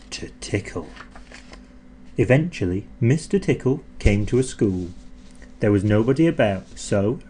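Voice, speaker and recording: A male storyteller with a mid-pitched voice reads to children as an audiobook narrator. His delivery is theatrical and expressive, stretching key words for effect. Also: a little hiss or hum.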